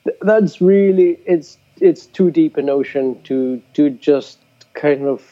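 A man's voice: fairly high pitch, slow pace, muffled recording. A man in casual conversation about music, a teacher who often restarts and repeats words as he thinks aloud.

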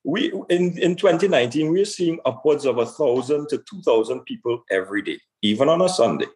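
A man from Trinidad and Tobago gives conversational interview answers about his own work. His pitch sits in the middle range for a man, and he often stops to repeat a word while he searches for the right phrase. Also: expressive, brisk, a little mellow. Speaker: cannabis store owner being interviewed.

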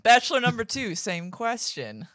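A woman's voice: on the high side, big pitch swings, moderate pace, no room sound. A woman guest on a podcast, giggly, playing along with improvised dating show banter.

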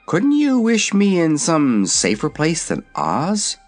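A man's voice: fairly high pitch, huge pitch swings, slow, soft background music, pitched above the performer's own voice, exaggerated voice. A man voicing a curious, easily astonished and uneasy young boy in a children's story. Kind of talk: storybook character voice.

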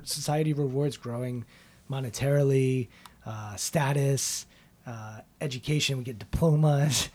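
A man's voice: medium pitch, darkish tone. A man hosting an interview, asking curious, probing questions in a reflective, conversational way. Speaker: interview host.

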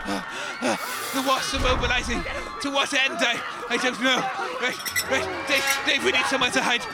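A man's voice: very high-pitched, steady tone, moderate pace, vocal fry, a loud crowd. A man playing a frantic stammering radio reporter. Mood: flustered. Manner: flustered, stammering, bossy with his partner. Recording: loud music underneath.

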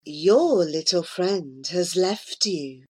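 A woman's voice: on the low side, expressive, very slow, a little mellow, husky, full character voice. A woman voicing a commanding false angel in a storybook, a villainess whose kindness covers a vicious temper. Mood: enticing. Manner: theatrical, commanding. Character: false angel villainess, seductively kind, turning viciously furious.

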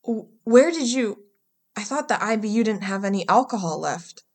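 An actress playing a weary, casual government enforcer in an audio drama, with an offhand drawl. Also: female voice, medium pitch, lively intonation, normal speed, darkish tone, husky. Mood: weary, curious.

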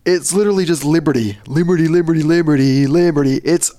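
Quick-talking man, gravelly edge, dry close sound, exasperated. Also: on the high side, mellow, no echo. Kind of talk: casual comedic chat.

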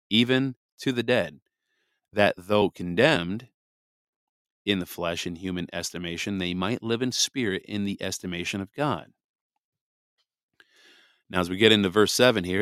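Male podcast host from Michigan, congested from a cold, lowish smooth voice, dry close mic, filler-heavy chat, slow deliberate readings. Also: no echo. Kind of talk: scripture reading with casual commentary.

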